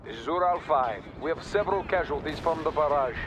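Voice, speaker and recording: A convoy soldier from a war game, voiced by a man, alert and terse. The voice is high for a man and delivers short military reports over loud battlefield noise. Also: animated, brisk, lo-fi sound, lightly acted. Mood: terse, businesslike. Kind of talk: scripted video game radio chatter.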